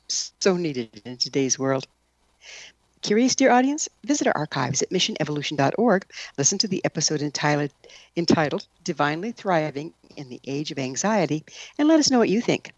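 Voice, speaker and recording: A woman's voice: low voice, no echo, rough recording. A woman, hosting a radio interview show in a scripted broadcast style.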